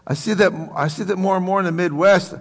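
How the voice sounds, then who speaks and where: American male pastor, creaky voice, joking and folksy, preaching conversationally in an echoing hall. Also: high voice, quick pace. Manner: folksy, joking, rhetorical questions to the congregation. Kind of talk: conversational sermon. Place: large room, preaching to a congregation. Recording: reverberant hall.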